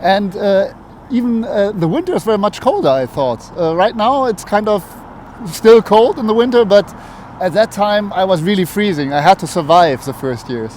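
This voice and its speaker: Very high-pitched German man, halting. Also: very animated, a little mellow. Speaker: interviewee, foreign resident. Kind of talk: casual street interview. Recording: faint outdoor traffic noise. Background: faint urban street noise.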